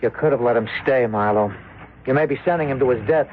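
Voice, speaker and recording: Male actor as earnest young American idealist, mid-pitched, intense, brooding, defiant, radio drama, tinny vintage broadcast. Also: fast, lightly acted. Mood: reproachful. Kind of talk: old-time radio drama acting.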